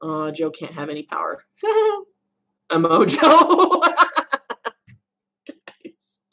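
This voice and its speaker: Young woman with a really low voice, bit of fry, rambling casually on a home livestream, laughs a lot. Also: huge pitch swings, drawn-out words, a bit bright. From Arizona, USA. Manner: chatty, rambling, laughs often. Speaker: livestream host answering viewer questions. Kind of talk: casual live Q&A chat. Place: home room.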